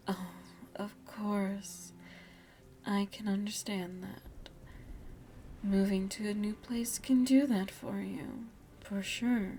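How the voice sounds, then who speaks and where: A woman performs an elegant vampire noblewoman in an ASMR roleplay, motherly and seductive. Her voice is dark and soft, often sinking toward a whisper. She coaxes in slow, genteel phrases, with faint ambient noise behind her. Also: mid-range voice, lightly acted. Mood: soothing, genteel. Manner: slow, genteel, softly half-whispered, coaxing.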